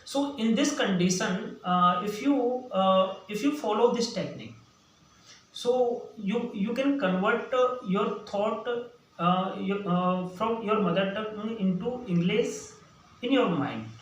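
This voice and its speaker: A man's voice: high-pitched, slow. Hesitant Indian man teaching English. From central India. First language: Hindi. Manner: hesitant, many fillers and restarts. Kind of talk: spoken English lesson. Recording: echoey room, distant mic, faint noise.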